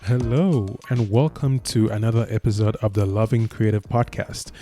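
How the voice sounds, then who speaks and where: A man's voice: lowish voice, steady tone, moderate pace, loud music behind the voice. A man hosting a podcast, introducing and interviewing in a conversational style.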